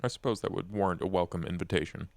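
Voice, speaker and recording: Guy doing a careful formal vampire for a tabletop game, really gravelly, deliberate wording, dry close sound. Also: sits low, steady tone, dry recording. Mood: formal, cautious. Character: male vampire, formal, measured, cautious strategist. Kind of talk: in-character tabletop roleplay.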